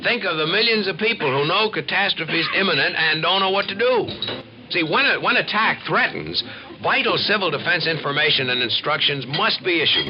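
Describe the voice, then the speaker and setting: A man's voice: fairly high pitch, expressive, normal speed, crowd noise, natural voice. An American man playing an earnest, assured civil defense expert who explains and narrates in a 1950s radio documentary. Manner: explanatory, folksy, emphatic. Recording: dull old radio recording with music.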